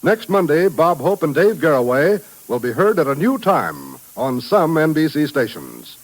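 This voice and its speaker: A man's voice: fairly high pitch, sing-song, moderate pace, rough recording. An American man announcing on 1950s radio, reading a script in a polished, declamatory way. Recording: vintage radio broadcast, faint music.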